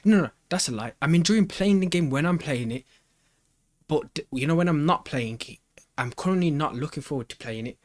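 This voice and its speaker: A man's voice: medium pitch, no room sound. A man hosting a reaction video, thinking aloud in a casual, slangy way and often repeating his phrases as he rambles.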